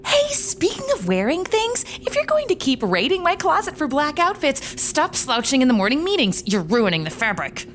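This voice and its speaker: A woman's voice: high voice, wildly swooping pitch, normal speed, few pauses, fairly bright tone, exaggerated voice. A woman playing a snippy, sardonic museum worker in an audio drama, snarky and scolding.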